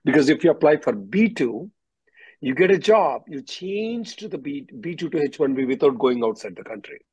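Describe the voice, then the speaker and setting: A husky-voiced man giving direct, instructive legal advice as the attorney hosting a question-and-answer call. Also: a little high, very animated, normal speed, darkish tone, no room sound. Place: conference call, close dry sound. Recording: dull, muffled conference-call audio.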